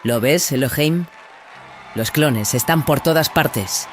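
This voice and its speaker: Warrior nun second-in-command, acted by a man, terse, suspicious, middle pitch, audio drama, clear music. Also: takes it slowly, a crowd in the background, lightly acted. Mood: wary. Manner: terse, distrustful.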